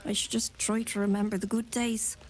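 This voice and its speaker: An actress playing a weary, sharp-tongued mother of a grown son, complaining in a world-weary way. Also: medium pitch, little pitch movement, brisk, slight background noise, close to an everyday voice, low-quality recording. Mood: weary grumbling.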